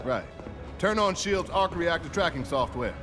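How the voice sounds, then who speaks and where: A man voicing a brisk, dry, commanding spy agency director in a video game cutscene. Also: on the high side, animated, lightly acted. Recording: music behind the voice.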